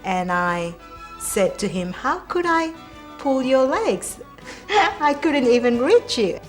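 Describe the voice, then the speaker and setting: A young woman who works as a casino dealer, telling a lively, amused anecdote. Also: fairly high pitch, slow pace, soft background music. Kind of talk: casual anecdote. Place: studio, plain backdrop.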